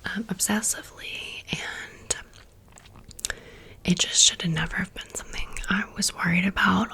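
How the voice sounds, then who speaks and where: Slow whispering woman in her thirties. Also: on the low side. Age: 30s. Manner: soft unvoiced whisper, chatty and blunt. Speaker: ASMR creator. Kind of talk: whispered ASMR show-and-tell. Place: home room with fridge and outside noise.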